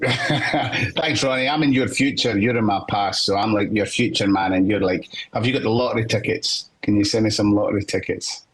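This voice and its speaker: A man's voice: lowish voice, normal speed, slightly rough, rough recording. A Scottish man, a singer-songwriter guest on a podcast, telling his story in an earnest, reflective way. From Scotland. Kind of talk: podcast interview.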